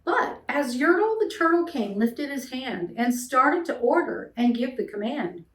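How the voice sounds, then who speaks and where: A middle-aged woman reading a rhyming children's picture book aloud as an expressive storyteller. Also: high-pitched, unhurried. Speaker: storyteller, read-aloud host. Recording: echoey room, distant mic.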